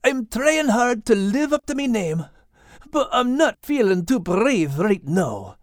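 A man's voice: sits high, very animated, takes it slowly, no echo, studio quality, voice pushed up, full character voice. A man voicing a troubled, earnest furry animal with a folksy, pleading manner in an audiobook. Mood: anxious, pleading. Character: troubled, earnest furry animal, male, self-doubting friend. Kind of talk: audiobook character voice.

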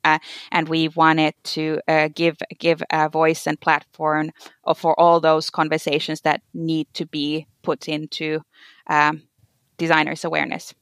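Thirtysomething woman, speaking english haltingly with lots of uh and um, dry close sound. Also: deep voice, monotone, fairly bright tone, clear, resonant tone, no echo. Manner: hesitant, many fillers and restarts, searching for words. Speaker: conference organizer, guest. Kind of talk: conversational interview answers.

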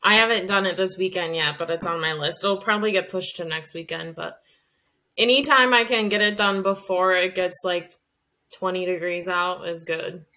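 A woman's voice: on the low side, steady tone, normal speed, sharp tone. A woman in her early thirties co-hosting a podcast, chatting in an upbeat, talkative way. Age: 30s. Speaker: podcast co-host. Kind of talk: casual chat.

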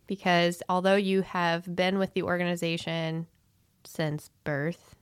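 A woman's voice: on the low side, flat delivery, a little slow, smooth, no room sound, studio quality. A woman co-hosting a podcast, chatty and upbeat, introducing the episode and its guest. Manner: chatty and upbeat, laughs easily. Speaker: podcast co-host. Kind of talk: casual podcast chat.

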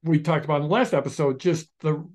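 Podcast guy, kinda high voice, rambling animated storyteller, lots of restarts. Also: fast. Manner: animated storyteller, restarts sentences, shifts pace often. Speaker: podcast co-host, online business founder. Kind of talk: casual podcast conversation.

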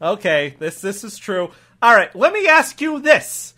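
A man plays a defensive police officer with a Texas accent in an improvised comedy. He stammers and repeats his folksy protests in a bright, sharp voice. His pitch moves around a lot as he gets flustered. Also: very animated, unhurried, heavily acted. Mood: grudging, bluffing. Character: flustered, defensive male cop from Wisconsin, easily mocked.

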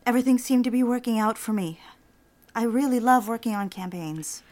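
An actress playing an earnest, defensive young secretary and dutiful daughter in an audio drama. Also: female voice, on the high side, a little fast, a little mellow, no echo, close to an everyday voice. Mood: pleading, earnest. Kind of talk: audio drama acting with first-person diary narration.

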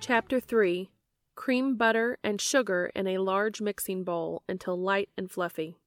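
A woman's voice: medium pitch, fairly even intonation, measured pace. A woman narrating a story from a script as an audiobook reader of fan fiction.

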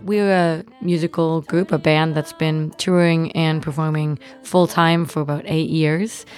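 A woman's voice: sits low, fairly even intonation, a little slow. A woman with a North American accent, a touring musician reflecting on her experiences in a personal storytelling style. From Ontario, Canada. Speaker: touring violinist and songwriter. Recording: music bed under the voice.

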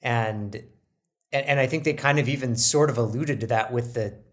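A man's voice: mid-range voice, normal speed, fairly bright tone. A man co-hosting a podcast, agreeing often and restarting sentences as he thinks aloud. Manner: agreeable, frequent backchannels and restarts. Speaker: podcast co-host. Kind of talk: casual analytical chat.

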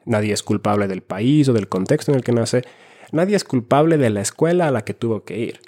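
A man in his twenties from Finland, co-hosting a casual podcast in a calm, dry way. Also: mid-range voice, a little fast. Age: 20s. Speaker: podcast co-host. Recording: studio mic with guitar music behind. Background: soft guitar music.

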